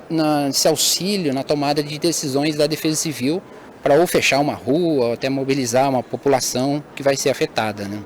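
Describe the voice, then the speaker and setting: A man's voice: mid-range voice, slight background noise. A man presenting his company's monitoring system in a webinar, hesitant with fillers and self-corrections.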